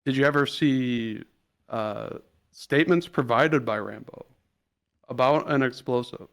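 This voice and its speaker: Halting, pressing man in mid-range voice. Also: mid-range voice. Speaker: attorney cross-examining a witness. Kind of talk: improvised roleplay courtroom questioning.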